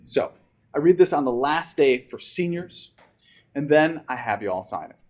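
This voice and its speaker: Male teacher, storybook read-aloud to a class, emphatic, with big swoops in pitch. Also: lively intonation, normal speed, darkish tone. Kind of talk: reading a rhyming picture book aloud to students.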